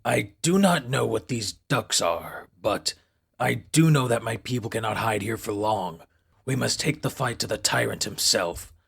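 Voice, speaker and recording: A man voicing a solemn, brooding alien rebel of the shadows in a comic book dub, speaking gravely and formally. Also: medium pitch, animated, unhurried, a bit bright, full character voice.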